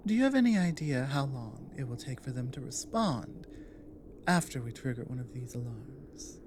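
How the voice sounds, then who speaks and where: A woman playing a guarded but loyal female orc warrior in an ASMR roleplay, speaking in a halting, earnest, plainly worded way. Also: extremely low pitch, expressive, noisy background. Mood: wary, practical.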